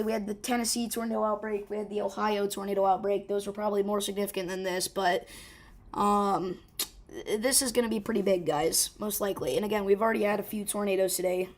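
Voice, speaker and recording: Woman w a rough hoarse voice covering storms live, urgent, lots of ums, mid pitch. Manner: urgent, informal, many fillers and restarts. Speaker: severe weather livestream host. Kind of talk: live weather coverage stream.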